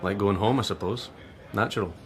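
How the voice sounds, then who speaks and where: Man acting low-voiced, glum detective sergeant. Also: a little fast, a bit bright, close to an everyday voice. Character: glum, half-hearted male detective sergeant. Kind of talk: radio drama acting.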